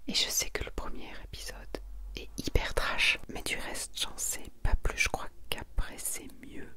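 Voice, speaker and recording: A woman whispering softly and without hurry in an ASMR style, as she presents and reads aloud. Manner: soft, hushed, unhurried whispering. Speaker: ASMR video creator presenting a manga collection. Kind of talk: whispered ASMR, reading and commenting.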